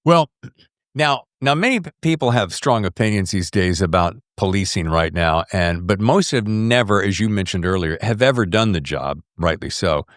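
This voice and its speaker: Middle-aged male podcast host. Lively intonation, low voice, chatty and emphatic, casual questioning. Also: normal speed, no echo, studio quality. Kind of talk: casual conversational interviewing.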